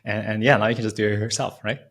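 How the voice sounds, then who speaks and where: A man's voice: fairly low pitch, fairly even intonation, fast talker, a bit bright. A man hosting an interview in a casual, conversational style, introducing and questioning a guest. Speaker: interview host.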